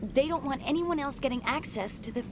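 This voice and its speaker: A woman's voice: high voice, a little fast, noisy background, lightly acted. A woman playing an anxious, stranded young research assistant in a video game, hesitant and nervous.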